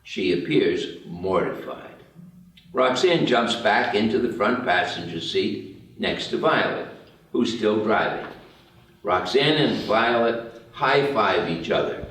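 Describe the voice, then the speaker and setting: A man's voice: lowish voice, animated, takes it slowly, fairly bright tone. A man reading stage directions as the narrator of a screenplay table read. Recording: very echoey room, distant mic.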